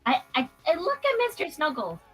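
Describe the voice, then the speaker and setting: A woman's voice: sits high, huge pitch swings, brisk, a bit bright, faint music behind, poor audio. A woman playing a tabletop game, talking playfully in and out of character.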